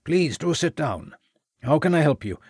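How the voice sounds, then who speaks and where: Man putting on a loud Welsh headmaster, raised pitch, lively swoops, dry close sound. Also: moderate pace, husky, no room sound, heavily acted. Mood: guarded.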